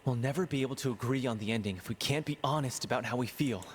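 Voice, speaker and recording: A man's voice: medium pitch, quick pace, lightly acted. A man playing an earnest, lovelorn young writer in a video game, speaking in a hesitant, heartfelt way. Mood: confessional, bitter.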